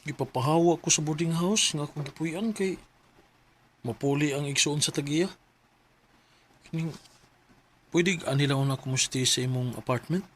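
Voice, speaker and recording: A Cebuano actor playing a self-centred, stubborn young boyfriend in a radio drama, with a casual, dismissive manner. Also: male voice, on the high side, moderate pace, long pauses, lightly acted. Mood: sweet, coaxing. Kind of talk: Filipino radio drama acting.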